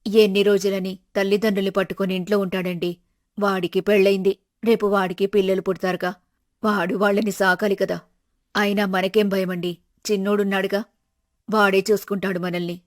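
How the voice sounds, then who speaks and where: A woman voicing a worried, practical older wife and mother in an animated family story, plain-spoken and resigned. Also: mid-range voice, brisk, close to an everyday voice. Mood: matter-of-fact.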